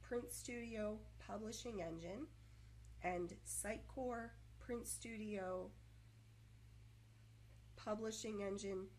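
A woman reads a scripted code walkthrough slowly, in a mid-range voice. She stops often with long pauses, repeats words and over-enunciates each consonant as she pushes through a stutter. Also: medium pitch, a little mellow, a little hiss or hum, low-quality recording. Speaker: software tutorial narrator. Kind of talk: scripted screencast narration.

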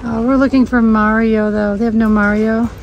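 Chatty high-voiced mom with vocal fry. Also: female voice, level tone, normal speed, lo-fi sound, a little hiss or hum. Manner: chatty, upbeat, thinking aloud. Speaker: vlogger and homeschooling mother. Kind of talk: casual shopping vlog narration. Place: large retail store, indoors. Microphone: handheld phone camera.

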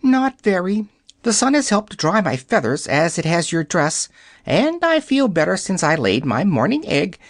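A reader voicing a talkative female yellow hen in a storybook, with a small, sharp, distinct voice. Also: mid-range voice, sing-song, no room sound, studio quality, voice pushed up. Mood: fretful. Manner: quick, fussy, sharp and distinct. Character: chatty talking yellow hen, female, fussy.

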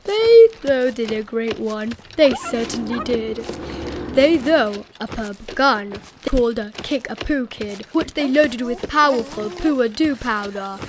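A woman narrating a rhyming picture book as an expressive, dramatic read-aloud. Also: fairly high pitch, takes it slowly, background noise. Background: music.

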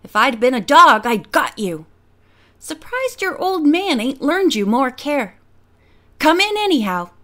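A woman voicing a gruff old bachelor uncle rabbit with rustic, folksy speech in a children's storybook. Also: high voice, very animated, measured pace, full character voice. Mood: gruff welcome. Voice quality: sharp.

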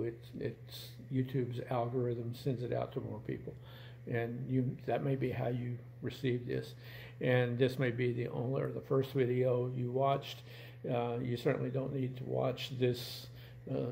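Older man, creaky voice, halting and plain-spoken. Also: mid-range voice, warm tone, a little hiss or hum, low-quality recording. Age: 60s. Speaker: video channel host. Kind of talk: casual talk to camera. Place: indoors in a home room.

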